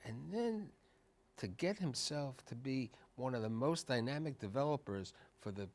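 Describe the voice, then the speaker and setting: A man with a mid-pitched, very animated voice hosts a television interview. His delivery is conversational and emphatic, rising and falling a lot as he prompts and narrates. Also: moderate pace.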